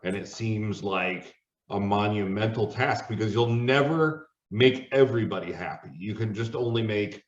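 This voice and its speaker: A man's voice: fairly low pitch, normal speed. A man hosting a casual panel discussion, talking in a conversational way. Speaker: host and tabletop gamer. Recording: echoey, distant, dull microphone.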